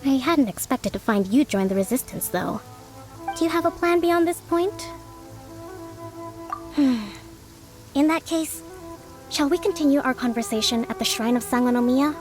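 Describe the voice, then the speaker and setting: Game casting: a poised, polite young priestess commander, high-pitched and formal, over music. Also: female voice, animated, moderate pace, pauses often. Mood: courteous. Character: composed, courteous young priestess leading a resistance. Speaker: game voice actor. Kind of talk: scripted game dialogue.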